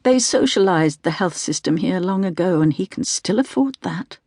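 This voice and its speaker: A woman narrates a literary audiobook in the first person. Her voice has a gravelly creak and is recorded close and dry. The delivery is measured and deliberate. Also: fairly low pitch, animated, a little mellow, dry recording.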